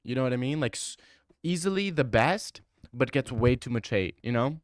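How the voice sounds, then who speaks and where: A young man chats loosely with his stream audience, joking and boasting in mock seriousness. His voice sits at an ordinary mid pitch and sounds dry and close, with no room echo. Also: lively intonation, moderate pace. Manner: joking, teasing banter with mock bravado. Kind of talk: casual live-stream chat answering viewer comments.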